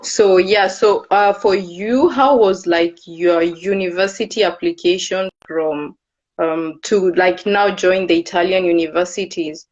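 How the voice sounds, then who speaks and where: A young woman speaks slowly and hesitantly, hosting a relaxed livestream chat. Her voice comes through dull and lo-fi, as over a video call. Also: fairly low pitch. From Kenya. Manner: unhurried, hesitant with frequent fillers. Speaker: host of a live video.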